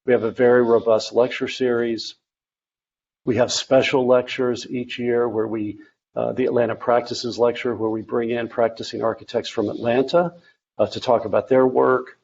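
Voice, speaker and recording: A man from the architecture faculty giving a virtual open house presentation, talking haltingly with many fillers and restarts. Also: mid-range voice, little pitch movement, gravelly, darkish tone, lo-fi sound, a little hiss or hum. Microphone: video call.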